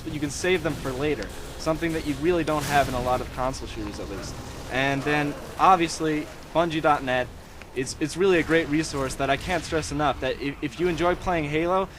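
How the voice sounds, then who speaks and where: A young man on a gaming panel, confidently laying out his argument point by point. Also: on the high side. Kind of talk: casual gaming discussion.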